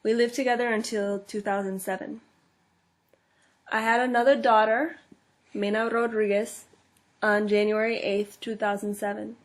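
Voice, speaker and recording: A woman's voice: medium pitch, natural voice. A woman reading as a frightened immigrant mother in her thirties. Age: 30s. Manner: quiet, earnest, fearful first-person telling. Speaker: case-study reader. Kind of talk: scripted first-person case narration.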